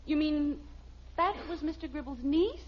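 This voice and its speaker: A woman's voice: high-pitched, unhurried. An American actress playing a middle-aged wife and mother, prone to scolding, in a 1950s radio sitcom. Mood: surprised, contrite. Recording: muffled, noisy old radio recording.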